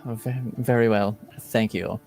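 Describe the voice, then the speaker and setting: A man playing a flustered, grateful revered mother of a church, with halting, humble, formal speech. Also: on the low side, vocal fry, darkish tone, faint music behind. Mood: flustered gratitude.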